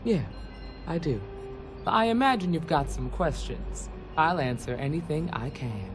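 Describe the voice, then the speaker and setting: A woman's voice: sits low, animated, a little slow. A woman playing an earnest, folksy female ranger recruiter in a video game. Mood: earnest, matter-of-fact. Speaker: recruiting officer. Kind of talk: video game character dialogue. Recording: game audio with loud music bed. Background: music.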